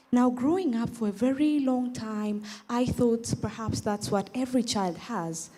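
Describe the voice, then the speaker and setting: A woman's voice: mid-range voice, warm tone. A young woman from Tanzania with a Tanzanian accent, giving a prepared advocacy speech on stage as a pageant contestant in an earnest, emphatic manner. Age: young adult. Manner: earnest, emphatic, deliberate phrasing with rhetorical repetition.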